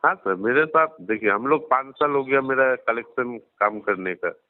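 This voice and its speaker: A man working as a loan recovery agent talks deferentially on a phone call, explaining things in a halting, roundabout way. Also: medium pitch, dry recording. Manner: deferential, addresses the other as sir. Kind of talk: phone conversation. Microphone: phone line. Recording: dry recorded phone call, narrow band.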